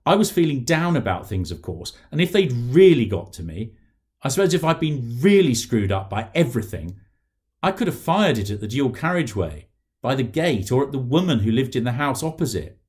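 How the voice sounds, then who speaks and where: A middle-aged British man reads aloud in a measured, articulate way. His medium-pitched voice moves with plenty of melodic variation, and his phrasing is deliberate and formal, like an author presenting his own factual writing. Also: big pitch swings, darkish tone. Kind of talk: measured reading aloud from a non-fiction book.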